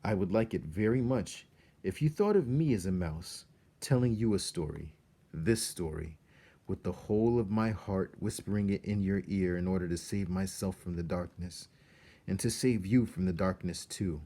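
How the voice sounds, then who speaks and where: A man reads a storybook aloud in a fairly low, very mellow voice. His delivery is expressive and earnest, turning directly to the listener and letting dramatic pauses hang. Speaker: teacher reading a children's novel aloud.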